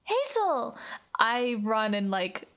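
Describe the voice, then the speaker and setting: A woman's voice: medium pitch, little pitch movement, measured pace. A woman improvising casual first-person narration as a player in a tabletop roleplay game.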